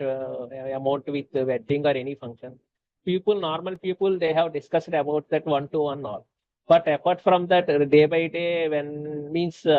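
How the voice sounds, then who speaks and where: Halting Telugu-speaking man, fairly high voice. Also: no room sound. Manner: halting, many fillers and restarts. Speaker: conversation guest. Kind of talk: casual conversation. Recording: dull lo-fi sound.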